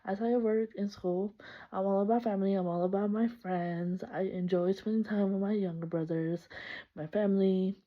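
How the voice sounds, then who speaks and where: A woman's voice: medium pitch, steady tone, normal speed. A young woman from El Salvador introducing herself for a class, chatty and upbeat, laughing often and correcting herself. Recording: slightly muffled lo-fi recording.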